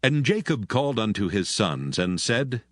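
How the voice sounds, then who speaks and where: A man's voice: fairly low pitch, sing-song, moderate pace, a bit bright, no echo. A man giving scripted narration of scripture for an audio drama.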